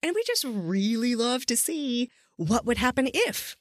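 A woman's voice: on the high side, big pitch swings, measured pace, no room sound, studio quality. A woman hosting a podcast, chatting casually and enthusiastically with a guest as she interviews. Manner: effusive, enthusiastic.

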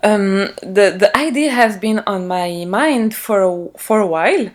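A woman's voice: medium pitch, expressive, unhurried. A woman from Morocco, a non-native English speaker, answering as a podcast guest and hesitantly searching for words. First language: not English. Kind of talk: interview answers.

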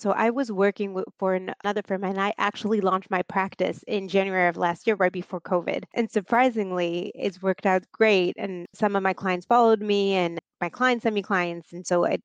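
A woman's voice: medium pitch, no room sound, dull audio. A woman in her forties from southern France, whose first language is French, explaining her business law practice with frequent restarts. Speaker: guest, business attorney. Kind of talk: interview monologue.

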